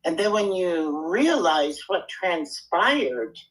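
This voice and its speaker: A woman speaking as a guest in a casual chat, earnest and halting, often repeating her words. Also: lowish voice, big pitch swings, poor audio. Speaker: guest speaker on a live audio room.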